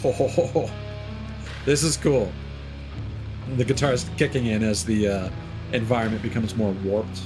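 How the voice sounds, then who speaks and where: A man's voice: on the low side, fairly even intonation, measured pace, long pauses, low-quality recording. Rambling male game streamer. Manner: rambling and offhand, with frequent fillers and casual swearing. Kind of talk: casual gameplay commentary. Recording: game music playing behind the voice. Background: game soundtrack guitar music.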